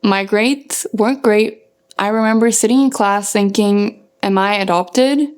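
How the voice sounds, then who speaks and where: A woman's voice: mid-range voice, measured pace, smooth. A young woman from Norway gives a scripted motivational talk to viewers as a running coach, earnest and emphatic in her phrasing. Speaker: running coach and content creator.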